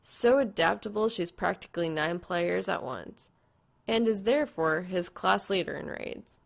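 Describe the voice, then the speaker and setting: A woman narrates fan fiction from a script. Her voice sits on the low side and has a clearly creaky, gravelly edge, as she reads the story through. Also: a little slow.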